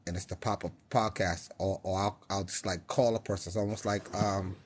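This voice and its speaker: A man's voice: low voice, steady tone, a little fast, slightly rough. A man from New York hosting a casual live-stream podcast, rambling and interrupting himself, with frequent laughs.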